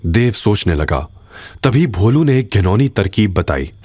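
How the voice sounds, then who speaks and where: A man's voice: fairly low pitch, very animated, measured pace, a little mellow, husky, dry recording, studio quality. A man giving dramatic scripted narration as the storyteller of an audio drama.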